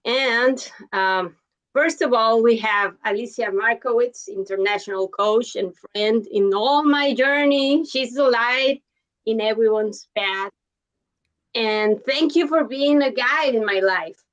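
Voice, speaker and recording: Slow, measured woman host. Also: fairly high pitch, a bit bright, low-quality recording. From Mexico. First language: Spanish. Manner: deliberate, measured introductions. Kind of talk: live online interview.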